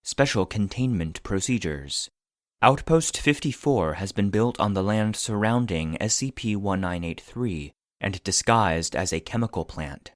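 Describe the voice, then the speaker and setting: A man playing an impassive narrator of classified files, reading in a formal, measured, detached way for an audio drama. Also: lowish voice, no room sound, studio quality. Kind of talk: scripted document narration.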